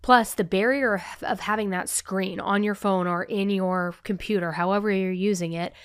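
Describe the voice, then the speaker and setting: Podcast host: a woman, animated and chatty, a bit nasal from a cold, close dry mic. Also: medium pitch, moderate pace, clean tone, no echo. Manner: animated, talks with hands, lots of "like". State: under the weather, a little nasal. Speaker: true crime podcast host. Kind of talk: casual chatty podcast storytelling. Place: new office studio set. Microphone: podcast microphone.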